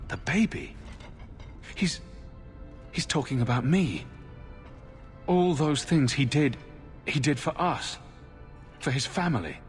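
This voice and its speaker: An actor playing an earnest, moved grown son in a video game. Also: male voice, a little high, animated, slow pace, pauses often. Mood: moved, awed. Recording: long reverb, faint music behind.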